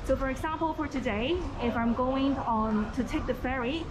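A woman's voice: high voice, moderate pace, over music, rough recording. Hong Kong woman giving a walking tour. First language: Cantonese. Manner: friendly, informative, guiding tourists. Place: outdoors on busy streets and in malls. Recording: loud street noise and traffic.

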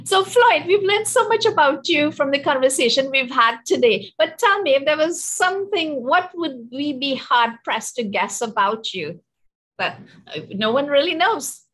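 High-voiced Trinidadian woman hosting an interview. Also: expressive, moderate pace, fairly bright tone, low-quality recording. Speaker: interview host, professor. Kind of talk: conversational interviewing. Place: indoor room, seated in an armchair. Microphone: video call.

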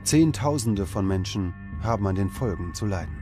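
A man's voice: on the low side, lively intonation, moderate pace. Serious male documentary narrator over music. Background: background music.

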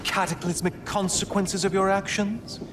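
An actor playing a smug, theatrical sun god in a video game, with an oily tone and a sarcastic, taunting drawl. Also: male voice, high voice, little pitch movement, normal speed, noisy background, natural voice. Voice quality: slimy, oily tone.

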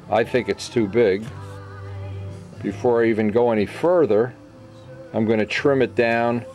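A man's voice: medium pitch, darkish tone. Middle-aged man thinking aloud while demonstrating. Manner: thinking aloud, unhurried explanations in short phrases. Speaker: model airplane builder giving a tutorial. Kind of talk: informal hands-on workshop demonstration. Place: indoor workbench.

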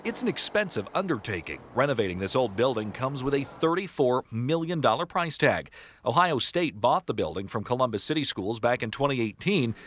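A man's voice: medium pitch, slight background noise. A young man delivering a TV news report in a studio and in voice-over. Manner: lively, measured news delivery.

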